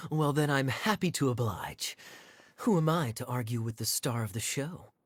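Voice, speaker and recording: An actor playing a suave, teasing young man in a video game, wry and husky-voiced. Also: medium pitch, lively intonation, a little slow, dry recording. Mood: amused. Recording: background music under the voice.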